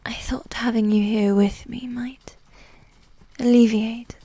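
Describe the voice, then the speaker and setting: A woman playing a regal, seductive, coolly manipulative evil queen in a medieval ASMR roleplay, speaking in a soft, breathy whisper with formal, measured phrasing. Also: on the high side, flat delivery. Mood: tense, tender. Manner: slow, measured, formal, with long pauses.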